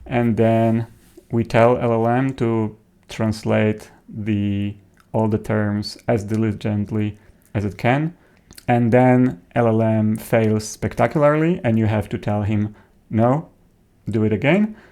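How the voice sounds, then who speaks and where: A man, a native Polish speaker with a Polish accent, presents an informal software tutorial in a halting, hesitant way. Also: lowish voice, steady tone, clear, resonant tone. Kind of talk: informal software demo narration.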